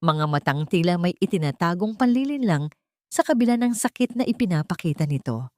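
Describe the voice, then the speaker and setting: A woman's voice: lowish voice, big pitch swings, brisk, a little mellow, no echo, studio quality. A woman with a Filipino accent narrating a scripted drama in a solemn, theatrical manner. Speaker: story narrator.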